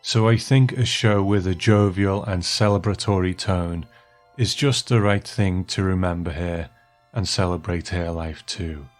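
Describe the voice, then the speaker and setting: A man's voice: sits low, measured pace, faint music behind. A man hosting a podcast, moving between prepared remarks and easy conversation with a guest. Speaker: podcast host. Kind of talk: podcast talk, partly prepared monologue and partly chat.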